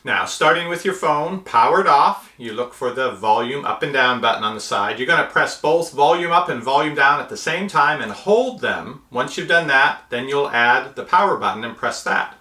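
A man in his fifties presents a tech tutorial. His voice is bright and medium in pitch, and he explains things methodically, one step at a time. The room adds a noticeable echo. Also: lively intonation, moderate pace, few pauses. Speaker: host of a tech tutorial channel. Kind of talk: step-by-step how-to instruction. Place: small indoor room, seated at a table. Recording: roomy indoor echo.

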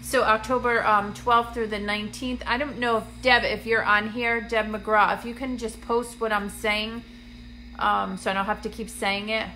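A woman, a medium hosting a phone live stream from a dim room, chatty and full of ums, sounding congested and a little raspy. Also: on the high side, flat delivery, moderate pace, pushed and bright, slight background noise. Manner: chatty, greets viewers by name, many ums. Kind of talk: casual livestream chat to viewers. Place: dim indoor room, seated on a couch. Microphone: phone camera at arm's length.

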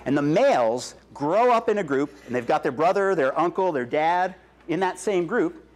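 A middle-aged man giving an excited science talk to schoolchildren, slipping in Spanish phrases and taking their questions. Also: on the high side, normal speed. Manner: enthusiastic, mixes English with Spanish phrases; walks and gestures in front of the audience. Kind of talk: classroom talk with Q and A for children. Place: large school cafeteria with many children. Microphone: wireless headset or lapel mic. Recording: roomy hall, from a distance, children noisy behind.

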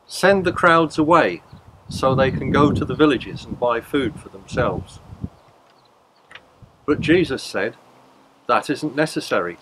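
An older man reads a Gospel story aloud for a church service, plain and steady at a middle pitch. A faint hiss sits under his webcam recording. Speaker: lay reader. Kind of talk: reading scripture at a service.

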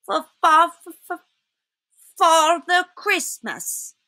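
A woman's voice: very high-pitched, animated, extremely slow, bright, forceful tone, no echo, voice pushed up, full character voice. A woman voicing a timid, elderly male fox in a storybook, deferential, hesitant and stammering with fright. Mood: terrified.

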